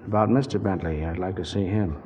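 A man's voice: deep voice, a little fast, crowd noise, close to an everyday voice. An actor playing a polite but persistent man on business in a 1940s radio drama, casual and a little hesitant. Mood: probing.

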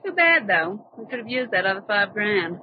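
A woman plays a greedy, sardonic swindler in a vintage radio crime show. She needles in brassy slang with very animated pitch. The recording sounds dull, with a light layer of noise. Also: moderate pace, close to an everyday voice. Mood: amused, greedy. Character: brassy, greedy female swindler, crook's sweetheart. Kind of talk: old-time radio crime drama acting. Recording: muffled, noisy old radio drama recording.

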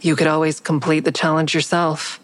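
A woman's voice: low voice, flat delivery, normal speed, natural voice. A woman playing a guilt-ridden, weary heroine who narrates her own story in an audio drama, blunt and subdued. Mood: guarded, probing. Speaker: narrator and lead actor. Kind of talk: audio drama first-person narration and dialogue.

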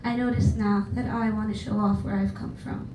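A woman's voice: mid-range voice, little pitch movement, moderate pace. A woman in her twenties from Jordan, a native Arabic speaker with an American accent, reciting her poem with rhythm and emphasis at an open mic. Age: 20s. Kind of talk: spoken word poetry performance. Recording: roomy, distant, noisy venue.

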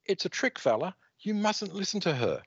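A man squeaking as an anxious young heroine. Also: very animated, normal speed, slightly rough, dry recording, exaggerated voice. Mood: urgent. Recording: dry, slightly dull lo-fi sound.

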